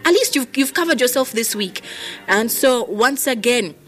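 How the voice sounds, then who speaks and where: A woman's voice: fairly high pitch, lively intonation, normal speed. A woman with a Zambian accent hosting a live radio promotion with prize phone calls, chatty and moving between announcing and casual talk. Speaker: radio host. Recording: music behind the voice. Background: music.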